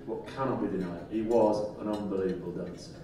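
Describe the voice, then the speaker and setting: A man's voice: on the low side, fast talker, heavy background noise. A man on a panel, teasing a fellow guest in a dry way. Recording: roomy hall, distant, loud ambience.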